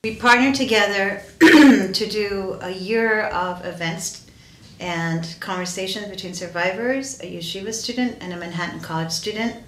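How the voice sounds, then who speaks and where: A woman's voice: medium pitch, normal speed, fairly bright tone. A middle-aged woman, a professor, speaking in an interview. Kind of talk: spontaneous interview answer. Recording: roomy echo, faint noise.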